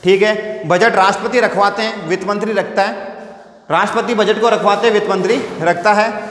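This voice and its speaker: A man's voice: sits high, steady tone, a little fast, fairly bright tone, slight background noise. A man teaching a class, repeating phrases and posing rhetorical questions. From India. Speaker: school teacher. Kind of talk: classroom lecture at a whiteboard. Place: indoor classroom. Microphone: clip-on lapel microphone. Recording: echoey, reverberant room.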